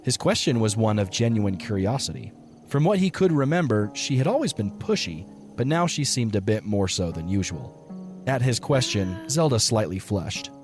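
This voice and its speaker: A man's voice: on the low side, very animated, normal speed, a little mellow, soft background music. A man reading scripted story narration for an audio drama.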